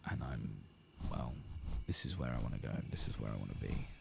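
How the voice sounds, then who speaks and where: A man giving hushed, soft-spoken ASMR commentary as he plays through a game, at times close to a whisper. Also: a bass voice, brisk. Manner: hushed, sometimes slipping into whisper. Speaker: streamer hosting a game playthrough. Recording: clear background noise and game ambience.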